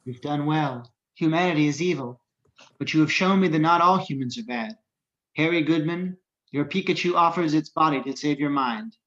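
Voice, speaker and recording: Through a dull, lo-fi microphone comes a man acting a mighty psychic creature, slightly high in pitch. The delivery is solemn and oracular, each pronouncement grave and measured, as in an amateur script reading. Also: a bit bright, close to an everyday voice. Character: solemn powerful psychic creature speaking like an oracle. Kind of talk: online scripted table reading.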